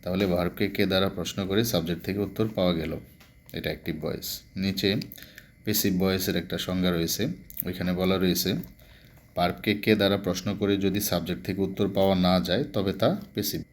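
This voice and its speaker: A man's voice: sits low, fairly even intonation, fairly quick. A man, a Bengali speaker, giving a grammar lesson as a teacher, explaining step by step with repetition. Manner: didactic, repeats explanations step by step.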